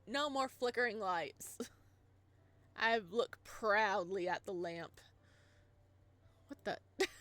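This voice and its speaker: A woman hosting a visual novel playthrough, laughing and reacting excitedly. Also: a little high, no room sound. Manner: giggly, excitable, animated reactions. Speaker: let's play host.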